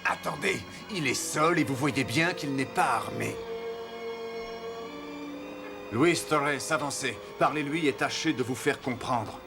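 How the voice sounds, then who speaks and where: A man playing an earnest, devout and commanding admiral explorer in an animated historical cartoon. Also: medium pitch, normal speed, creaky, over music, natural voice.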